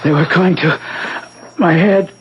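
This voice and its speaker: An actor playing a dazed, drugged husband in a 1970s radio drama, groggy, halting and repeating himself. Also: male voice, high voice, lo-fi sound. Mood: dazed, shaken. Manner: halting, repetitive. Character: dazed, drugged husband just rescued, shaken and weak. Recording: faintly hissy old radio broadcast.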